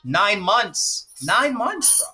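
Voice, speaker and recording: A man from Jamaica chatting casually on a live stream, excitable, repeating himself and laughing often. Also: high voice, slow pace, faint music behind.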